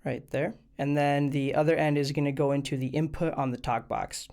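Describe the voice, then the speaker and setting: A man's voice: fairly high pitch, little pitch movement, fairly quick, a little mellow. A man hosting a tutorial video, explaining things step by step in an informal, plain way. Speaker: host of a music gear tutorial channel. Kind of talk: casual instructional video tutorial.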